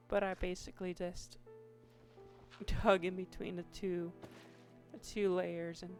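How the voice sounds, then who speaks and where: Slow, hoarse woman narrating her gameplay. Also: mid-range voice. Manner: slow, meandering, with many restarts and fillers. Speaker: Minecraft YouTuber. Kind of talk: casual gameplay commentary.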